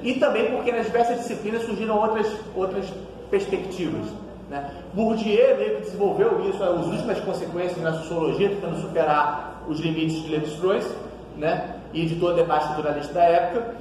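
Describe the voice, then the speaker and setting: Brazilian man, 30s, lively lecturer, higher voice, distant echoey room recording. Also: brisk, noisy background. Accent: Brazilian Portuguese. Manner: animated, gesturing widely, frequent tag questions. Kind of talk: academic lecture. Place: seminar room with whiteboard. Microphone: far camera across the table.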